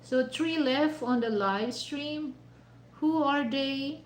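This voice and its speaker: Filipino woman, higher voice, casual dinner livestream chat, lots of fillers, echoey room. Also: slow pace. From Philippines. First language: Mandaya. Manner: rambling, many "you know" fillers. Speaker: livestream host. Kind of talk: casual chat while eating.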